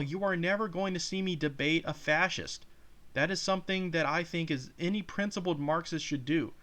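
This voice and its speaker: A man's voice: a little high, a bit bright. Heated sarcastic man reacting to a video. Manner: sarcastic, heated, swears freely. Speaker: channel host reacting to a video. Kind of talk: commentary over a played clip.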